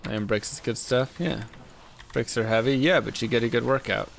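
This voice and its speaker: A man with a deep voice, chatting casually and in a laid-back, rambling way as he streams a game from a desk microphone. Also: moderate pace, gravelly, slight background noise. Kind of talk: casual chat while gaming.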